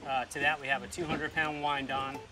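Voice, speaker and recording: A man's voice: fairly high pitch, little pitch movement, brisk, music in the background. A man guiding a fishing trip on an open boat, explaining gear in a matter-of-fact, instructive way. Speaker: fishing guide. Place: open boat at sea, outdoors.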